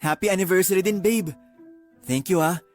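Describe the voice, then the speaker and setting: A man plays a sweet-talking, pushy young student boyfriend in a radio drama. His voice is fairly high, coaxing and insistent, with music underneath. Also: normal speed, natural voice. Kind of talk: Tagalog radio drama acting. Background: background music.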